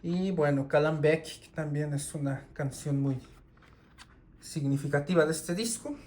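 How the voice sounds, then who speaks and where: Unhurried man showing records. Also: a little high, darkish tone, slight background noise. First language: Spanish. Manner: unhurried, with many fillers and restarts. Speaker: record collector. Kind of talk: casual show-and-tell of his CD collection.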